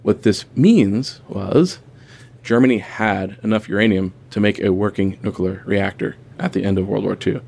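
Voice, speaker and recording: A man's voice: fairly low pitch, normal speed, vocal fry, a little mellow, breathy edge. A man speaking as a researcher in a casual interview.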